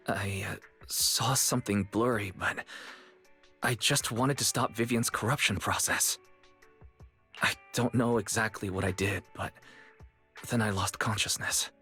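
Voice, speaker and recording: A bright-voiced young game hero. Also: male voice, fairly low pitch, breathy edge, heavily acted. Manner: urgent shouts in danger, calm and plain when talking. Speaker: game protagonist. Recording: game cutscene with faint music.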